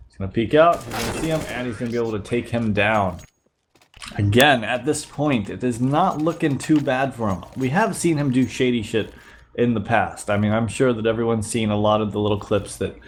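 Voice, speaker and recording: A man's voice: fairly low pitch, a little hiss or hum. Slightly nasal man with a cold. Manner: relaxed play-by-play narration. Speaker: YouTube host reviewing gameplay. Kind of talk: casual gameplay commentary.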